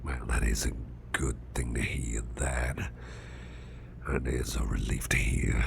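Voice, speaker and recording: Casting: male actor as big grumpy boss for ASMR roleplay, extremely low gravelly murmur, halting and restrained, clear background noise. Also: steady tone, heavily acted. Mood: pondering, uneasy. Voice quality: very deep, gravelly, breathy near-whisper. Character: big, strong grumpy male boss trying to stay calm. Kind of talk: whispered ASMR roleplay, personal attention.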